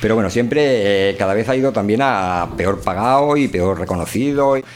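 A man's voice: on the low side, normal speed, slight background noise. A man with a Castilian Spanish accent hosting a radio show in an informal, colloquial, rambling way. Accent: Castilian Spanish, Spain. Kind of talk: informal, chatty monologue and discussion.

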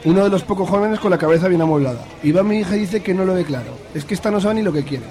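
A man's voice: high-pitched, very fast, warm tone, lightly acted. A man acting a blustery, bigoted middle-aged conservative father with a Spanish accent in a radio drama. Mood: scornful, worried. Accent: Spain. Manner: blustery, grumbling. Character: grumbling bigoted hard-line conservative father. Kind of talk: colloquial radio drama dialogue.

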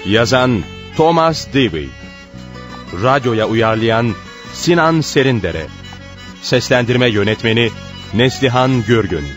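Male announcer for radio theatre credits: scripted, unhurried, lively pitch movement, over plucked guitar music.

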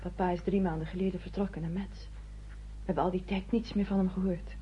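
An actress in a radio play as a courteous, caring young Frenchwoman, polite and gently insistent. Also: on the low side, fairly even intonation, fairly quick, lightly acted. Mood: polite, anxious. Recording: dull old radio recording, faint noise.

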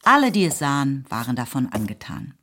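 A woman's voice: extremely low pitch, big pitch swings, brisk, sharp tone. A woman giving scripted literary narration as the narrator of a radio drama. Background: faint music.

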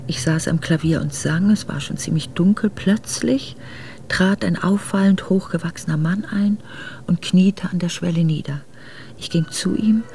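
An actress playing an earnest, reflective exiled noblewoman, narrating her memoir in a measured way for a radio drama. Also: on the low side, vocal fry, warm tone, slight background noise. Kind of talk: literary first-person radio drama narration.

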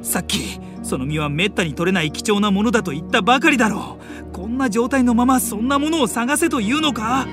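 A proud young man who is stiff, blunt and easily affronted. His indignant delivery swings widely in pitch, in audio drama style, over prominent music. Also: animated, fairly quick. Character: stiff, irritable, proud young older brother. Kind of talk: animated Japanese audio drama acting. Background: music and animal sounds.

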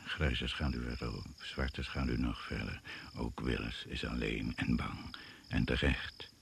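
A man narrating a radio drama gravely and ominously, in deliberate, incantatory short phrases, on an old recording. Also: rumbling low, steady tone, fairly bright tone, a little hiss or hum, heavily acted. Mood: ominous, measured. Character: grave, ominous male narrator of a jungle tale.

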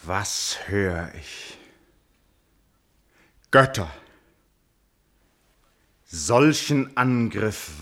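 A man playing a proud, wrathful ancient Greek king and betrayed father in a classical verse radio tragedy, declaiming gravely and solemnly. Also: deep voice, a bit bright, heavily acted. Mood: horrified outrage.

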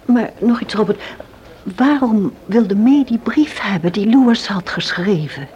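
A woman playing a troubled, polite character in a Dutch radio play, speaking in intimate, hushed, earnest questions. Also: medium pitch, animated, a little slow, natural voice. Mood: puzzled, curious.

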